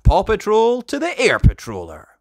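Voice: mid-range voice, huge pitch swings, moderate pace, creaky, no echo, clean studio sound, voice pushed up. A storybook reader voicing a confident, encouraging boy who leads rescue pups. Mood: commanding. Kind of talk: children's storybook character voice.

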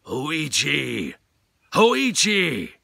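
A man voicing an imperious ghostly samurai with a gruff voice in a ghost story. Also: a little high, huge pitch swings, drawn-out words, pushed and bright, no room sound, voice pushed up, full character voice. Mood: loud, summoning.

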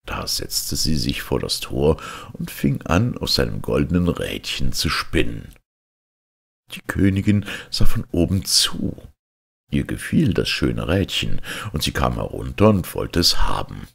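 Low husky guy reading a fairy tale dramatically, takes his time. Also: very animated, a little slow, fairly bright tone. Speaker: storyteller.

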